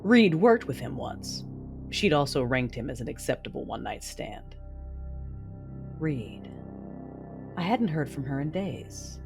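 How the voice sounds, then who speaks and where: A woman's voice: low-pitched, big pitch swings, unhurried, vocal fry, long pauses, heavily acted. A woman playing a brooding, menacing Sith lord, narrating her own story in an audio drama. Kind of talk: first-person audio drama narration and dialogue. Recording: dramatic music underneath.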